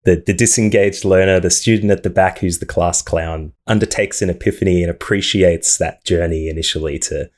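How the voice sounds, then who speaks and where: A man's voice: deep voice, fairly even intonation, brisk, few pauses. A man hosting a podcast, leading the interview with friendly questions. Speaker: podcast host.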